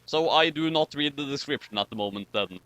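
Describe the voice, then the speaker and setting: A man hosting and narrating in a casual, wordy, deliberate way. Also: on the high side, animated, a bit bright, dry recording. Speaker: channel host and narrator. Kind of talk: casual banter and comic story reading.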